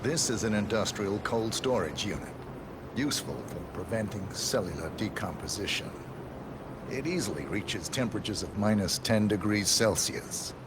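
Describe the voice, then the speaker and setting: Deep-voiced deadpan tour guide played by a man. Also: very noisy, lightly acted. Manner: deadpan, measured, formal. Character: composed male winery tour guide with sinister dry humour. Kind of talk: scripted video game dialogue. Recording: loud machinery and ambience behind.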